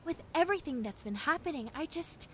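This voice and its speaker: A woman playing a friendly, clumsy young female zombie in a roleplay audio drama, talking in a chatty, expressive, rambling way. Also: high voice, brisk, slight background noise. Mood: wistful, grateful. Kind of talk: roleplay audio drama, conversational monologue addressed to listener.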